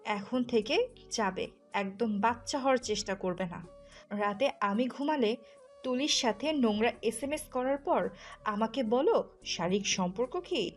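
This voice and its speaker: Audio drama casting: actress as furious jealous wife, sharp and accusing, fairly high voice over music. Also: female voice, lively intonation, measured pace. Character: furious, jealous, wounded wife scolding her husband. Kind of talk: audio story acting. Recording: music under the voice.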